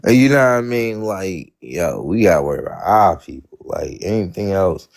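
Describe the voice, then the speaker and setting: A man's voice: low voice, very animated, normal speed, vocal fry. An American man from Atlanta, born in New York, chatting casually in an emphatic, slangy way with some swearing.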